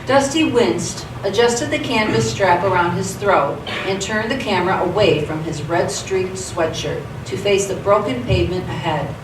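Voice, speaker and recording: A woman narrates a radio theatre story from a script in a mid-pitched voice. She sounds set back from the mic in an echoey space, with clear background noise. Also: normal speed, hardly any pauses, recorded from a distance.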